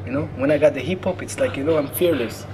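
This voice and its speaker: A young man from Ethiopia, a hip hop musician, answering interview questions haltingly, searching for words. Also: mid-range voice, darkish tone, low-quality recording.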